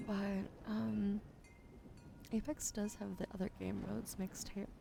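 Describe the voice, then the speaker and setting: Sleepy whispering woman, gravelly and slow. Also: mid-range voice, flat delivery. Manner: soft whispering between chatty gaming talk. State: sore throat. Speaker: ASMR streamer. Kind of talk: ASMR whispering and casual chat. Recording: noisy stream audio.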